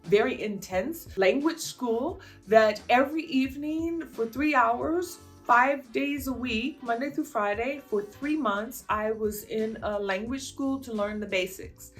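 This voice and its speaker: A fairly high-voiced American woman in her fifties talks through viewer questions in a loose, meandering way. She leans on fillers as she goes. Soft music plays faintly underneath. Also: a little slow, few pauses. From United States. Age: 50s. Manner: chatty and meandering, with frequent fillers. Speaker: vlogger answering viewer questions. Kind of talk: casual Q&A monologue.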